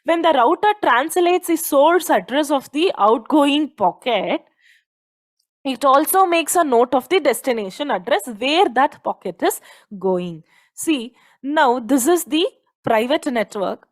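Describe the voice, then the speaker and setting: A woman's voice: sits high, animated, measured pace. A woman with an Indian accent giving an explanatory technical lecture, posing questions and repeating key points like a teacher. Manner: didactic, poses questions then answers them, repeats key points.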